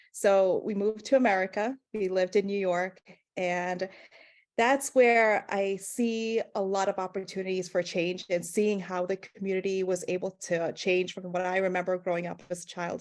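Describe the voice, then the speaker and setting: A woman in her thirties from Guyana, a pharmacist guest answering interview questions in an earnest, rambling way with many fillers. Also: lowish voice.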